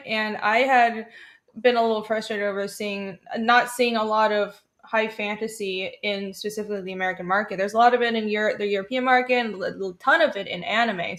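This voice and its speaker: A woman's voice: medium pitch, fairly bright tone. A woman who is a native Mandarin speaker, chatting casually as a guest comic creator on a livestream, explaining things with enthusiasm.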